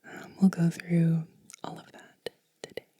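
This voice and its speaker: A woman whispering softly and breathily in a gentle, unhurried, soothing ASMR show and tell. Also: on the low side, completely flat delivery, husky, no room sound. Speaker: host of a witchcraft ASMR channel.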